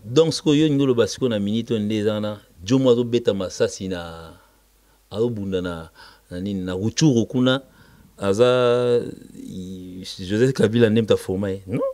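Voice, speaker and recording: A man with a Congolese accent and Lingala as his first language speaks as an interviewed musician, emphatic and repetitive, switching between halting French and Lingala. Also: lowish voice, mellow. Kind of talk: casual interview, recounting band history.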